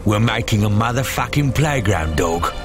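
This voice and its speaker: A man's voice: fairly low pitch, lively intonation, moderate pace, music in the background, lightly acted. An actor playing a brash, good-hearted male street gang member in a video game, cocky and full of slang. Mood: defiant.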